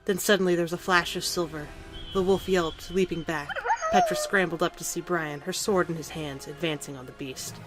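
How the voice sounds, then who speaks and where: Female audio drama narrator, slightly low voice, scripted storytelling with faint music underneath. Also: fairly even intonation, normal speed, rough recording.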